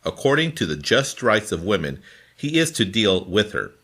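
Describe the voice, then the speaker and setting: A man reading scripture from a formal translation in a deliberate, phrase-by-phrase manner. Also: lowish voice, very animated, fairly bright tone. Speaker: scripture reader.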